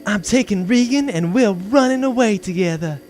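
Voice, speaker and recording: Man putting on a high-voiced, besotted, stubborn wife. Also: lively intonation, moderate pace, darkish tone. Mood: defiant. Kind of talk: comic audio drama acting.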